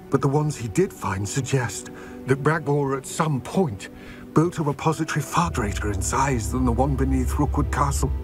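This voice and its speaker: An actor playing a weary, solemn goblin recounting his past in a game cutscene, with measured, somber delivery. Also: mid-range voice, natural voice. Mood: grave. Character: weary old male goblin, solemn and grave. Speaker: game character.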